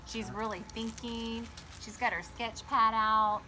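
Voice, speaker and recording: A woman hosting a children's storybook read-aloud outdoors, chatting in an animated way and putting questions to her young listeners. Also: fairly high pitch, slow pace, low-quality recording. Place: garden, sitting outdoors by a small table fort.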